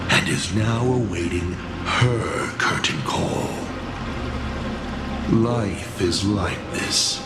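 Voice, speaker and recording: A man playing a cruel, theatrical mastermind in a video game, calm and mockingly philosophical, with a gravelly, measured delivery. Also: lowish voice, heavy background noise. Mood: mocking, coolly candid. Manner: measured, dramatic, deliberate. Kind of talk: video game character acting. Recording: echoey voice under loud music.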